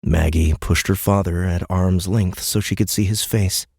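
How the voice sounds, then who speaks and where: A man narrates an audiobook in a low, husky voice. He reads scripted story prose, and the sound is close and very dry. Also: steady tone, normal speed, a little mellow, no room sound.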